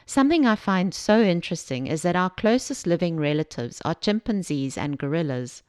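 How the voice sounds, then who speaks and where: A woman from Zambia giving a scripted self-help talk as a podcast host, measured and explanatory, speaking directly to the listener. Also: on the low side, expressive, darkish tone, smooth, dry recording, studio quality.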